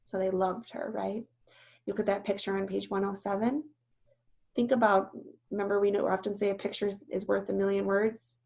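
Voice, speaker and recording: A woman's voice: medium pitch, gravelly, darkish tone. A woman teacher reading a storybook aloud and explaining it, instructive and often checking that listeners understand.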